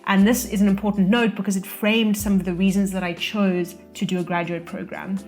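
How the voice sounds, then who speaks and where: A woman's voice: mid-range voice, fairly quick, faint music behind. A young South African woman talking casually to camera, giving career advice from her own experience. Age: young adult. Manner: relaxed, conversational, speaks from own experience. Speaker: vlogger sharing career advice. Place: indoor room, losing daylight.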